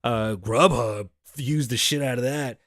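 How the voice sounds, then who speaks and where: A man in his thirties hosts a casual talk show, his voice hoarse. He rambles loosely and profanely at a mid pitch, joking and tossing asides to his crew. The sound is dry and close, with no room around it. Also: a little slow, fairly bright tone, dry recording. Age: 30s. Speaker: host. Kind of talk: casual podcast monologue. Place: show set in Las Vegas.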